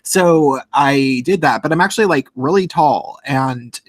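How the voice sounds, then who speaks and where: A man's voice: mid-range voice, unhurried, dry recording. A man in his late thirties with a nasal voice hosts a loose livestream talk. He rambles and keeps restarting his sentences. The sound is dry and close. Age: late 30s. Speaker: podcast host. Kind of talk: casual livestream chat.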